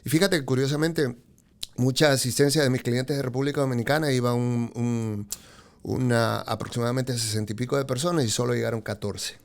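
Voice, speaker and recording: Gravelly man telling his story. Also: medium pitch, steady tone, a little fast. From Peru. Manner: repeats "correcto", many fillers and restarts. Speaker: podcast guest, car dealership expert. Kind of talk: casual interview storytelling.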